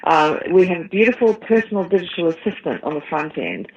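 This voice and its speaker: A woman's voice: on the low side, big pitch swings, brisk. A woman with a New Zealand accent, a tech company CEO, explaining her business at length as an interview guest. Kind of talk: interview answers. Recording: dull lo-fi line.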